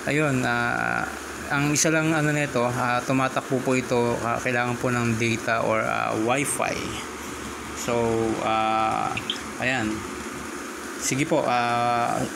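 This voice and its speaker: A man's voice: mid-range voice, level tone, measured pace, creaky, noisy background. A Filipino man, native in Tagalog, talking casually and politely with hesitations as he demonstrates an app. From Philippines. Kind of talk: casual tutorial talk and radio-style chat.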